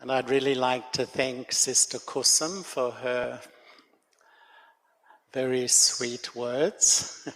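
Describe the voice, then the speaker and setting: An older man, giving a gentle, reflective spiritual talk to a gathering at a meditation center. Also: medium pitch. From Australia. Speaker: guest speaker. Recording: long hall reverb.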